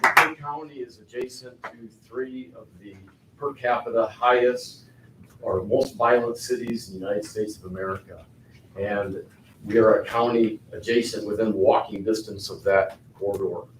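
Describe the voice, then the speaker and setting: An older man giving a halting public comment at a county board meeting, with many fillers and restarts. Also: lowish voice, steady tone, fairly bright tone. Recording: echoey hall, far from the mic, faint noise.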